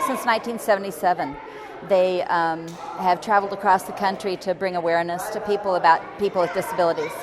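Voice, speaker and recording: A middle-aged woman speaking haltingly in an unscripted interview. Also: lowish voice. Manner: halting, hesitant. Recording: busy crowd chatter behind.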